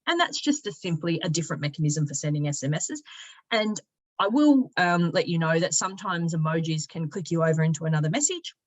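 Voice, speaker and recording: A woman giving a conversational software walkthrough as a company presenter, explaining each step informally. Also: low voice, expressive, fairly quick, dry recording. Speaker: sales and marketing director giving a product demonstration.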